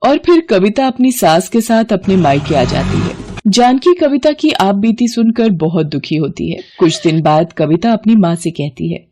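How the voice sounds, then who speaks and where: A woman's voice: medium pitch, sing-song, moderate pace, noisy background. A woman storyteller reading scripted narration for a moral family tale.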